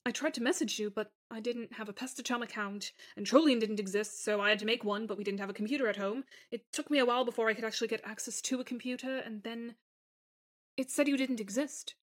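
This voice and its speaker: A woman's voice: on the high side, quick pace, lightly acted. A woman voicing a tender woman reunited with her lost lover in a fan fiction podcast, speaking in careful, formal phrases full of feeling. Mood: shaken, hesitant. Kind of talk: dramatised fan fiction reading.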